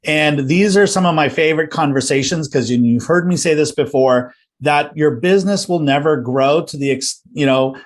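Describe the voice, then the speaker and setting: A man hosting a business coaching podcast, friendly and enthusiastic, often restarting his sentences. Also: a little high. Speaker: podcast host. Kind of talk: podcast interview.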